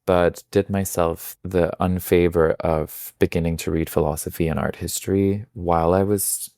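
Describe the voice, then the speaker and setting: A man's voice: low voice, flat delivery, normal speed, dark tone, dry recording, studio quality. A man, answering interview questions in a thoughtful, reflective way. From Denmark. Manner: thoughtful, reflective, with small restarts. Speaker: guest, magazine founder.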